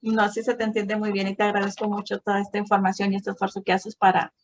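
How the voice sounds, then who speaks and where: A woman's voice: mid-range voice, monotone, fast. A woman hosting a conversation, explaining things in an encouraging, affirming way. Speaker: host. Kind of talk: conversational explaining, supportive interjections.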